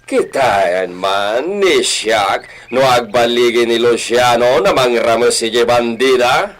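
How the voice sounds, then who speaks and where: A man's voice: medium pitch, lively intonation, slow pace, fairly bright tone. A man with an Ilocano accent playing a commanding, scheming village don in a comedy radio drama.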